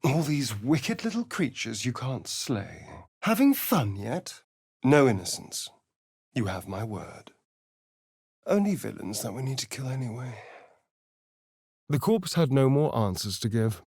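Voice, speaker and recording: An actor playing a sly, vain vampire spawn adventurer in a video game, with an arch, theatrical, sardonic drawl. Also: male voice, medium pitch, big pitch swings, unhurried, pauses often, darkish tone, breathy edge, no room sound, studio quality, full character voice. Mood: wry amusement. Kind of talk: video game companion voice lines and narration.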